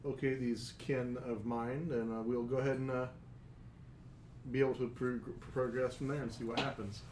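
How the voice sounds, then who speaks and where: A man's voice: medium pitch, flat delivery, normal speed, echoey room, background noise, lightly acted. A man voicing a proud female werewolf pack leader, curt and guarded.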